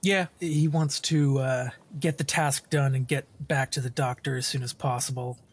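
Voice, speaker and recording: A man's voice: medium pitch, fairly even intonation, normal speed, husky. A man playing a tabletop game, casually improvising what his character does. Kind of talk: casual improvised roleplay narration.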